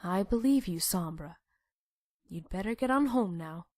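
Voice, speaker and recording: A woman playing a calm, wise pony princess, composed and gently instructive, in a cartoon audio drama. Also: lowish voice, measured pace, dry recording. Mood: knowing.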